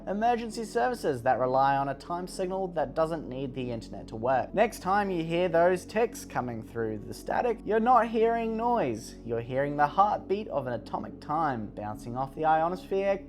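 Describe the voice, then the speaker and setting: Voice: mid-range voice, darkish tone. A video presenter delivering scripted explainer narration.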